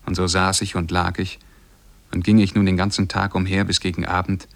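An actor playing a lovestruck, shy young man who tells his tale in measured, poetic German radio drama style. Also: sits low, completely flat delivery, creaky, no room sound. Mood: lyrical, longing. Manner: measured, poetic, careful diction.

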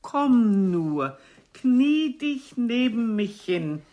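An ageing stage diva in a radio drama. She is imperious and teasing, drawing out her words with grand, wide swoops of pitch. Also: big pitch swings, dark tone, heavily acted. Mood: grand, coquettish. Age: older. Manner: declamatory, haughty, teasing, drawn-out delivery. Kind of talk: radio play acting.

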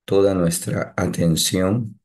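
A man leading a guided meditation, giving calm instructions in short phrases with long pauses between them. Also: sits low.

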